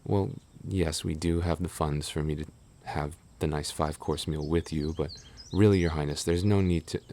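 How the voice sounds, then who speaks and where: A man playing a devoted, easily flustered young knight in an intimate roleplay audio drama, speaking politely and haltingly with soft attentiveness. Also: rumbling low, fairly even intonation, a little hiss or hum. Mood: sheepish.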